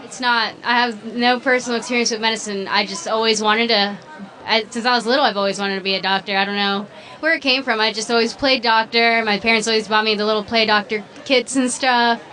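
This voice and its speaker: A woman's voice: fairly high pitch, steady tone, brisk, sharp tone. A woman student answering an interview question in a chatty, earnest way.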